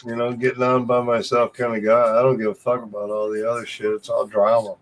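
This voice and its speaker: A man's voice: mid-range voice, completely flat delivery, a little fast. An American man in casual late-night chat on a video call, swearing freely and laughing often in a loose, joking way. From United States. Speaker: guest on a live video call.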